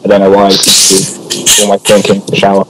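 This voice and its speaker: Brief: guest, low monotone, stop-start delivery. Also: male voice, crowd noise, rough recording. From England. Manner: halting, many restarts. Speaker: guest map builder. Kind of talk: casual group voice chat.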